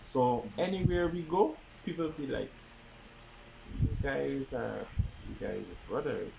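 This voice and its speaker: Young adult man, mid-pitched voice, casual co-host chat, emphatic, repetitive phrasing. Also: unhurried, gravelly, noisy background. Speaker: podcast co-host.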